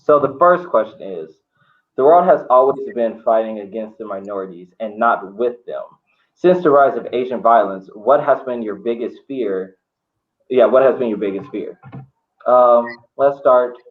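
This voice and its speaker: A man hosting a group discussion podcast in an informal way, often repeating his phrases. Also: mid-range voice. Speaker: podcast host. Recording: thin phone-like audio.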